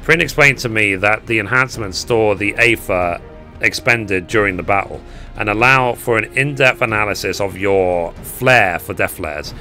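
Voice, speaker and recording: A man's voice: on the low side, normal speed, pushed and bright, faint music behind. A man reading as a formal magic examiner. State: hoarse.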